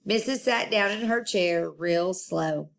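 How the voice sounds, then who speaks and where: A woman storyteller reading a children's book aloud in an expressive style. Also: on the low side, takes it slowly, creaky.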